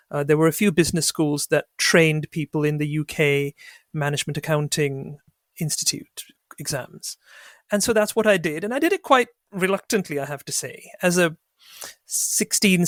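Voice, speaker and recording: A middle-aged man from Sri Lanka, a barrister guest telling his career story in a measured, wry way. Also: a little high, a bit bright, dry recording, clean studio sound. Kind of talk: podcast interview, reflective storytelling.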